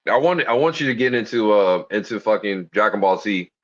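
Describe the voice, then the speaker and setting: A man with a deep voice, talking as a guest in a casual interview, enthusiastic, slangy and profane. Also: expressive, fairly quick. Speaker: guest, body piercer. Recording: dull lo-fi stream audio.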